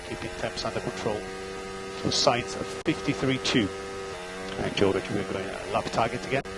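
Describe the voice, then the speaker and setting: A male race engineer reads out gaps and lap times in short, clipped, steady calls. His voice comes through a team radio link, with loud engine noise behind it. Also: medium pitch.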